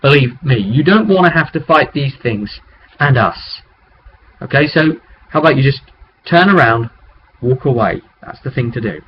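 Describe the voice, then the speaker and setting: A man's voice: mid-range voice, lively intonation, moderate pace, close to an everyday voice. A man voicing a wary hired mercenary in a tabletop game, bluntly warning adventurers off and negotiating, recorded on a webcam. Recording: dull webcam audio with background noise.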